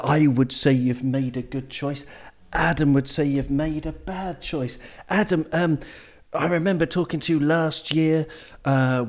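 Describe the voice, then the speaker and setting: A man's voice: a little high, a little mellow. A man hosting a football podcast, an upbeat, enthusiastic interviewer. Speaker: podcast host. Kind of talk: casual podcast chat.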